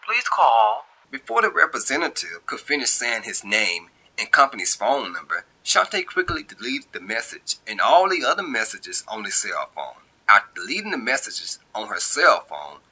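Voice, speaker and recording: A man's voice: mid-range voice, big pitch swings, moderate pace, few pauses, sharp tone, husky, rough recording. A man narrating a scripted short story of his own in informal vernacular phrasing. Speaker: amateur author reading own story.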